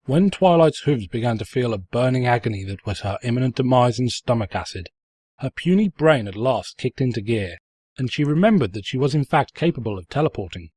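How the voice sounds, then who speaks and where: A man's voice: medium pitch, lively intonation, a little fast, darkish tone, slightly rough, no echo. A man playing a sarcastic, pompous narrator who mockingly tells a comic fan fiction story. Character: snide, mocking male storybook narrator who insults the heroine.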